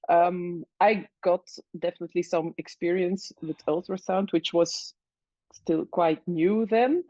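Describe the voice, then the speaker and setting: A woman from Belgium, an anesthesiologist speaking in a casual interview, hesitant with many fillers and pauses. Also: mid-range voice, darkish tone, no room sound, muffled recording. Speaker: anesthesiologist guest.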